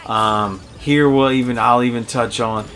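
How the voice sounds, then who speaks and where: A man's voice: medium pitch, steady tone, unhurried, fairly bright tone. A man giving a casual, enthusiastic video game review in a conversational way. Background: game music behind the voice.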